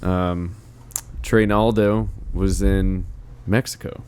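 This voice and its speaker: A man's voice: low-pitched, steady tone, takes it slowly, darkish tone, slight background noise. A young man hosting a casual podcast chat, easygoing and laughing often as he steers the conversation. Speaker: podcast host.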